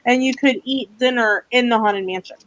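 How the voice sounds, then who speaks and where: A woman's voice: fairly high pitch, moderate pace, fairly bright tone, dry recording. A woman with a Southern US accent chatting casually and teasingly as co-host of a livestream, in a folksy way. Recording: dull, lo-fi livestream audio.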